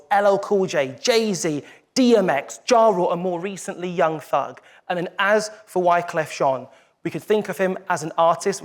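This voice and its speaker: A man hosting a live stage event, presenting with energetic, emphatic build-ups. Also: high voice. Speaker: event host.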